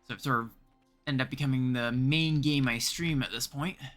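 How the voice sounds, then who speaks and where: Bright-voiced male game streamer. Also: mid-range voice, moderate pace. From Canada. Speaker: game livestreamer. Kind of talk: casual gameplay commentary, chatting with viewers. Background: game music.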